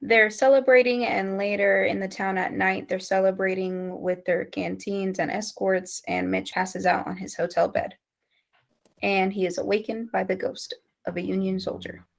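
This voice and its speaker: Woman with creaky voice narrating a script. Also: medium pitch, little pitch movement, normal speed. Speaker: narrator of stage directions. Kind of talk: scripted narration at an amateur table read.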